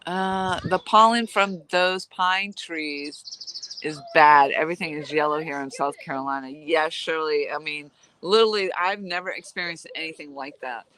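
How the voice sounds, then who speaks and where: A woman's voice: deep voice, normal speed, fairly bright tone. A woman with a nasal voice, chatting casually and rambling as she vlogs outdoors while fishing. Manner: chatty, rambling, laughs easily. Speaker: vlogger. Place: outdoors at a lakeside camp.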